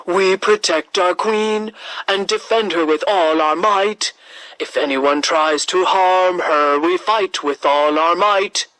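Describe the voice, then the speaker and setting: A woman's voice: lowish voice, fairly bright tone, no echo, low-quality recording. A woman voicing proud, martial playing-card guards in a children's storybook, solemn and declamatory. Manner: slow, declamatory.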